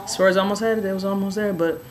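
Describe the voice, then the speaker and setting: A young woman with a raspy, hoarse voice telling a personal story in a relaxed, rambling way with many fillers. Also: mid-range voice, fast, a crowd in the background. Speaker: vlogger. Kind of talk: casual personal storytelling to camera.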